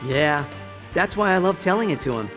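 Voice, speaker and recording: Higher-pitched earnest teen turtle. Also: male voice, animated, a little fast. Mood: fond. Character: earnest, thoughtful teenage male mutant turtle brother. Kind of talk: cartoon game dialogue. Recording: music under the voice.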